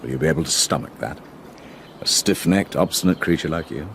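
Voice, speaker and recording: An actor playing a blunt, gruff man in a radio drama. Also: very deep voice, brisk, fairly bright tone, slight background noise. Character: gruff older Englishman, blunt but kind.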